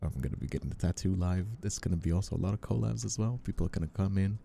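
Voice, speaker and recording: A man's voice: low voice, very fast, creaky, dark tone. A man chatting casually on a livestream as a streamer and voice actor.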